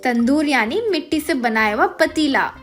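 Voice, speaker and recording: Woman putting on humble female cook, storybook, deferential, eager, high voice over music. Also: normal speed, a bit bright. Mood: shy.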